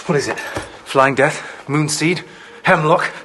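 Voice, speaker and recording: A man's voice: a little high, measured pace, noisy background, close to an everyday voice. A man playing a ruthless, impatient commander interrogating a captive in an audio drama, demanding and menacing. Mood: urgent, demanding.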